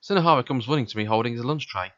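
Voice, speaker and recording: A man's voice: medium pitch, rapid-fire, a bit bright, dry recording. A man reading first-person visual novel narration aloud as the narrator. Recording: dull, muffled home recording.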